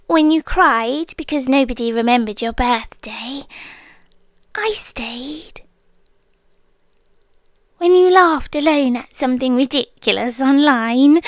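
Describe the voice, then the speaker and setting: A devoted, possessive angel, played by a British woman, murmurs in a high, breathy voice that keeps sinking into whispers. She takes her time, lingering tenderly over each word with a quiet obsessive edge. The sound is close and completely dry. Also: no room sound. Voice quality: breathy, intimate, drifting into whispers. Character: possessive, devoted female guardian angel, ageless. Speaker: ASMR roleplay performer. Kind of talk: soft-spoken ASMR roleplay. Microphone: close mic, dry sound.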